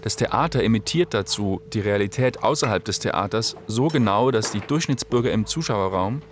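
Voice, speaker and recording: A man reading scripted documentary narration in a measured, explanatory way. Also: fairly low pitch, lively intonation, a little hiss or hum.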